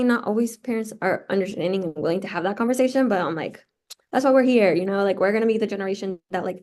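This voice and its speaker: A woman's voice: mid-range voice, a little mellow. A woman in her twenties, born in Peru and raised in the United States, speaking as an interview guest, chatting casually and filling her sentences with "like.". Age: 20s. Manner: chatty, heavy use of "like" fillers. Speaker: guest, law student.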